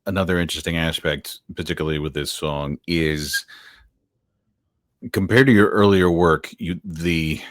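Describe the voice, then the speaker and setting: A man's voice: low voice, fairly even intonation, moderate pace, no room sound, studio quality. A male interviewer asking long, thoughtful questions in a casual, winding way.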